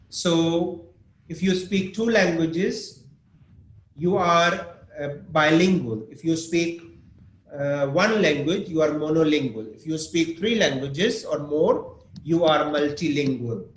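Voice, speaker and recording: Slow, patient man teaching English. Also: on the high side. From Pakistan. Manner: slow, patient, explains with examples and asks students questions. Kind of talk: online class lesson. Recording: echoey room with faint noise.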